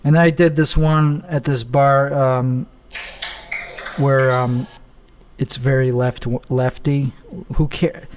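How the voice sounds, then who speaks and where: A man's voice: medium pitch, level tone, slow, pauses often, a little mellow, slight background noise. A young man hosting a casual solo show, talking bluntly and rambling with self-mocking asides. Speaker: podcast host.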